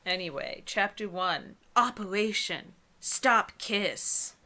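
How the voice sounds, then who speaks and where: A young woman in casual chat and mocking read-aloud, animated and opinionated, with sarcastic asides. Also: mid-range voice, takes it slowly. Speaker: co-host of a comedic book-reading show. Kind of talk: casual chat mixed with mocking dramatic reading.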